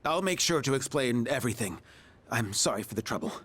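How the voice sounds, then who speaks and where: A cowed, contrite schoolteacher in an anime-style game. His voice is rough and husky, with wide swings of pitch and a meek delivery. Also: lively intonation, a little fast, fairly bright tone. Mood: ashamed. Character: apologetic, cowed male schoolteacher.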